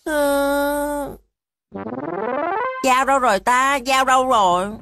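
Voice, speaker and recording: A high-voiced little boy full of mischief and schemes. He drawls his words in a cartoon manner, with music clearly behind him. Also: slow. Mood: hungry, scheming. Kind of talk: Vietnamese cartoon dub.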